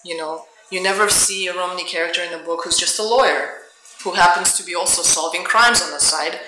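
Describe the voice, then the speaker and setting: A middle-aged woman speaks as a guest author at a public talk, with a very bright, forceful voice. She reflects aloud, feeling for her phrasing, in an echoey room with faint noise. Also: lowish voice, a little fast. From Soviet Union. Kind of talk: answering audience questions at a book talk. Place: indoor public event room.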